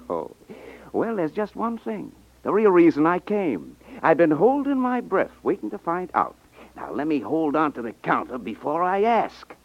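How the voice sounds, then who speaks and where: A man's voice: a little high, wildly swooping pitch, unhurried, full character voice. A man acting in a 1950s radio drama as a brash, pushy, slangy salesman feigning honesty. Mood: eager. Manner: slangy, insistent. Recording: muffled 1950s radio recording.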